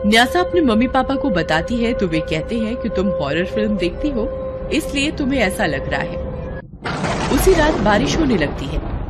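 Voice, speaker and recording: A woman narrating a scripted children's horror story as an expressive storyteller. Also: mid-range voice. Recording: loud music under the voice.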